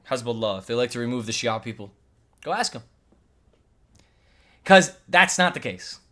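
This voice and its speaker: A man from Lebanon giving a heated reaction commentary, arguing emphatically and hammering the same points again and again. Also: medium pitch. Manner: argumentative, emphatic, repeats points, rising to near-shouts.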